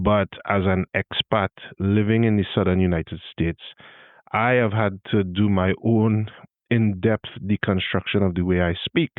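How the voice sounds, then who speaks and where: Deep-voiced Trinidadian man hosting a podcast. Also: dry recording, phone-quality sound. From Trinidad and Tobago. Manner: self-deprecating, wry asides. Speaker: podcast host. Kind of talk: solo podcast monologue.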